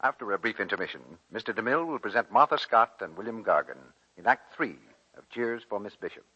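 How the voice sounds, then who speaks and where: An American man working as a 1940s radio announcer, delivering a scripted soap pitch. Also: animated, fast talker, bright, forceful tone, slightly rough. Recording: muffled vintage 1940s radio broadcast.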